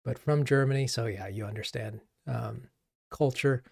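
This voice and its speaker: A man from Japan hosting a coding livestream as a coach, chatting casually and haltingly as he thinks aloud. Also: medium pitch, vocal fry, breathy edge, no room sound, studio quality.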